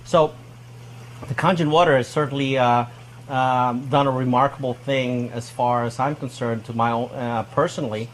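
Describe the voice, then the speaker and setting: A man's voice: medium pitch, steady tone, moderate pace, slight background noise, rough recording. A man giving an unscripted testimonial, stopping often on hesitant fillers between phrases.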